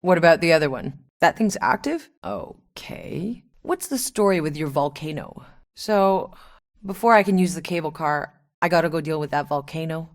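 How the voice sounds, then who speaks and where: A woman's voice: low voice, lively intonation, moderate pace, dry recording, clean studio sound. An actress playing a tough, sardonic woman mercenary in a video game, speaking in a wry, blunt, colloquial way. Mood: gruff, businesslike. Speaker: video game protagonist voice actor. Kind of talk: scripted game dialogue.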